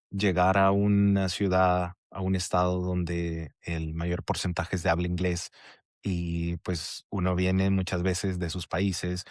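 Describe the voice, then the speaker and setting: A man with a low voice hosts a relaxed podcast conversation. He talks in a reflective, easygoing way and often chimes in with short affirmations. The recording is dry and close, with no room sound. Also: dry recording. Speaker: podcast host. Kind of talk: casual interview chat.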